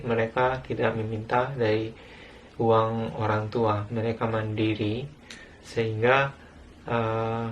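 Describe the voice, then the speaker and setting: Indonesian guy, young, earnest, halting at times, mid voice, faint noise behind. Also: mid-range voice, fairly bright tone, highs cut off. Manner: earnest, plain, with hesitations. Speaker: guest speaker living abroad. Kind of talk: recorded video talk.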